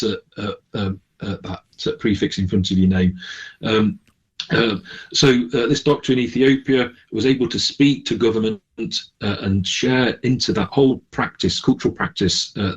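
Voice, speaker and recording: A man with a fairly low, rough-edged, husky voice giving unscripted answers as a radio guest. He is hesitant, circling back and restarting as he looks for the right words. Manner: hesitant, searching for words with repeated false starts. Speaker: charity manager, interview guest.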